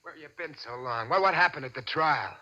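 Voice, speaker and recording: An actor playing a cocky, greedy American racketeer who talks in boastful gangster slang, in the style of 1940s radio crime drama. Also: male voice, a little high, brisk, sharp tone. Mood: anxious, defensive. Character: cocky, greedy small-time racketeer man with a swaggering streak. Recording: dull, band-limited old radio recording.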